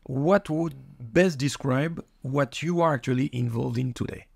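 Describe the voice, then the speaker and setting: A man's voice: medium pitch, measured pace, creaky, a little mellow, husky, dry recording. Middle-aged male podcast host, dry sound. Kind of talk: conversational interviewing. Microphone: studio microphone.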